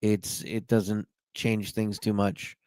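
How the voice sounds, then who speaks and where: A man's voice: lowish voice, little pitch movement, takes it slowly, darkish tone, clear, resonant tone, dry recording. A man hosting a podcast in an informal, chatty way with short slangy interjections. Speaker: podcast host. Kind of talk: casual podcast chat.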